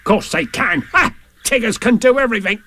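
A man's voice: very high-pitched, moderate pace, sharp tone, voice pushed up, exaggerated voice. A man voicing a bouncy, overconfident male tiger in a storybook, bragging with chuckling interjections. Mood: boastful.

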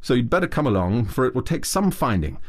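A man voicing a kindly, brisk male water rat who speaks in a hearty, reassuring way. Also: mid-range voice, very animated, pitched above the performer's own voice.

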